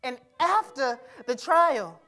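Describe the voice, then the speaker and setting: Young man playing high-voiced, defiant teenage boy. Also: lively intonation, bright, forceful tone, faint music behind, heavily acted. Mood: pained, bitter. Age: young adult. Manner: folksy vernacular, halting emphatic delivery. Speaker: actor in a dramatic reading. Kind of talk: staged dramatic reading. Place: theatre-like stage in a conference hall. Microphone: lapel.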